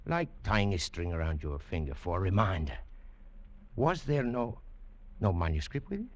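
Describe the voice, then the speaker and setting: An actor playing a courteous, cautious Peruvian museum curator in a 1940s radio drama. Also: male voice, low voice, very animated, moderate pace. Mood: intrigued.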